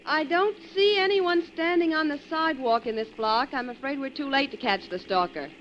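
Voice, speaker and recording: A woman's voice: high-pitched, normal speed, natural voice. An actress playing a detective's teasing, slightly jealous female companion in an old-time radio mystery. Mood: uneasy. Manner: dry, teasing, hesitant when uneasy. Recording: muffled old radio recording, faint noise.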